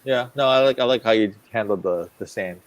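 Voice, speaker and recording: A man's voice: fairly low pitch, a little fast. A man co-hosting an online drawing show, bantering casually and commenting on drawings.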